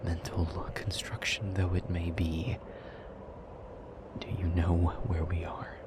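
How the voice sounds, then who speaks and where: A man playing a brooding, wounded demon lover in an intimate audio roleplay, speaking in a hushed, breathy, measured way. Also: low-pitched, little pitch movement, heavy background noise. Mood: brooding, weary.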